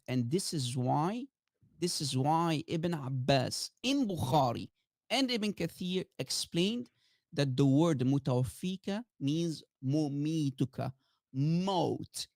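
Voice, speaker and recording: A man's voice: fairly high pitch, animated, takes it slowly, a little mellow, dry recording, studio quality. A man from Sudan arguing in a heated online debate, insistent, who keeps interrupting with "just a second" over a video call.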